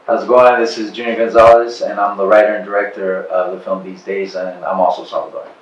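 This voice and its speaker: Male director, hesitant, very deep monotone, roomy lo-fi. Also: fast, slight background noise. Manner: hesitant, many fillers. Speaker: film writer and director. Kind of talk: casual interview. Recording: roomy, distant, dull sound.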